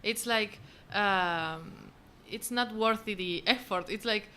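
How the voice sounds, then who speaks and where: A woman's voice: medium pitch, takes it slowly, pushed and bright, smooth. A young Argentinian woman, a native Spanish speaker, answering casually as an interview guest and often searching for words.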